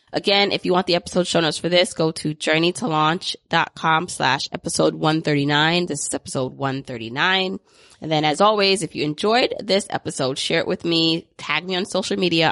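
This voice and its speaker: A woman's voice: deep voice, a bit bright, no room sound, clean studio sound. A woman in her thirties hosting a podcast, talking in an upbeat, chatty way and often leaning on "like". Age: 30s. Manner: upbeat, chatty, many "like" fillers. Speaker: podcast host. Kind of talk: casual interview and outro.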